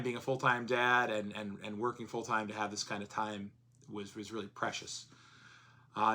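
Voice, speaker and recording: A man with a hoarse voice chatting casually in a vlog, rambling with plenty of fillers. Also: fairly low pitch, pushed and bright. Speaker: comics vlogger.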